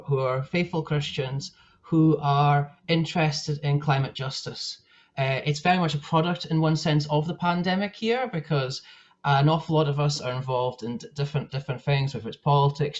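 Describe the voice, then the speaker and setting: Young man, northeast Scottish accent, chatty presenter on a call, slightly high-pitched, muffled lo-fi sound. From northeast Scotland. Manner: chatty, many fillers and restarts. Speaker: guest speaker. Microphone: video call. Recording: dull lo-fi call audio.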